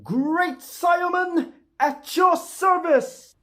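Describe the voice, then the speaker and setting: A vlogger voicing a bombastic cartoon champion of justice with grandiose, shouted declarations. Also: very high-pitched, extremely slow, pitched above the performer's own voice, exaggerated voice. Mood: heroic, boastful.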